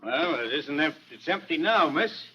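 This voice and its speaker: An actor playing a plain-spoken, cautious small-town police chief with a folksy, placating manner in a vintage radio mystery. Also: male voice, fairly high pitch, animated, moderate pace, slightly rough. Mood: firm. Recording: dull, noisy vintage radio recording.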